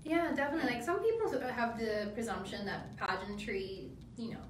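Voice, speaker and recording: A young woman in her twenties from the Philippines and Australia, chatting upbeat and relaxed as a co-host of a live stream. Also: fairly high pitch, a little fast, noisy background. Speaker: pageant titleholder co-hosting a live stream. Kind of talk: casual chat. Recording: echoey room, mic at a distance.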